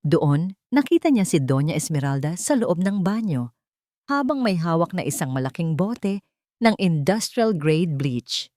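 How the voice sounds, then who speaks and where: Low-voiced woman narrating drama. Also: big pitch swings, a little slow, dark tone, dry recording, studio quality. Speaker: audiobook narrator.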